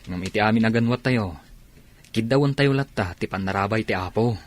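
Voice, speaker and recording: An actor with a Filipino accent playing a devout, steady soldier comrade in a radio drama. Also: male voice, on the low side, a little fast, dry recording, lightly acted. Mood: steadfast, earnest.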